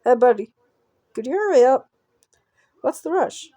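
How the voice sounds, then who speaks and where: A mocking, teasing weirdo from a horror visual novel, played by a woman reading aloud. The voice is slow and mellow, sitting a little high, with a creepy playfulness in the manner. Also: expressive. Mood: impatient teasing.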